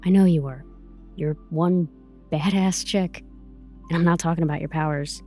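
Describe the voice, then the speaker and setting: A woman's voice: low voice, fairly even intonation, normal speed, a little mellow, soft background music. A woman playing a tough, protective advocate in an audio drama, blunt, slangy and profane. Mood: admiring, firm. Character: tough, brassy, protective woman advocate coaching her client.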